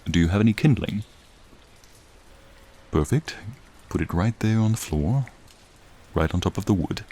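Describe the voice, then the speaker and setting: A man voicing a friendly, charming frost dragon in a soothing, courtly, admiring manner, in an ASMR roleplay with rain behind him. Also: normal speed. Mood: friendly, hospitable. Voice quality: low, gravelly, soothing. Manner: courtly, leisurely, warmly attentive. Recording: steady rain sounds behind the voice. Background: rain falling.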